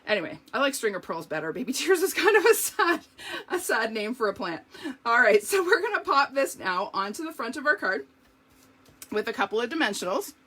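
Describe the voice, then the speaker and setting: A woman showing card-making step by step in a live video, chatty and talking to her viewers, her voice slightly hoarse. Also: fairly high pitch, very animated, brisk, pushed and bright. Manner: chatty, explains each step, talks to viewers. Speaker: craft demonstrator.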